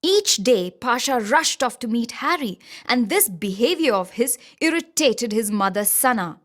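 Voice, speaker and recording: A woman reading scripted narration for a children's animated story. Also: on the high side, measured pace. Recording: music behind the voice.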